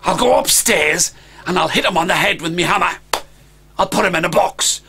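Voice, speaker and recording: A man's voice: high-pitched, moderate pace, gravelly, bright, forceful tone, a little hiss or hum, full character voice. A man voicing a big, gullible young dragon boy who whines and pleads. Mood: scheming.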